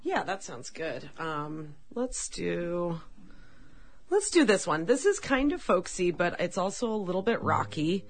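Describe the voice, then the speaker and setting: A woman musician with a raspy voice, chatting casually in an interview, friendly and laughing easily, with many fillers. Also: on the low side, big pitch swings, measured pace, a little hiss or hum. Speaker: touring musician guest.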